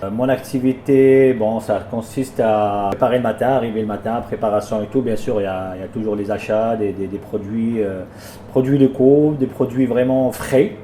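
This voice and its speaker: A man's voice: on the low side, steady tone, normal speed, warm tone, roomy sound, slight background noise. A man who runs a small restaurant, answering interview questions in a plain, hesitant way and often repeating words as he talks. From France. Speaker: restaurant owner.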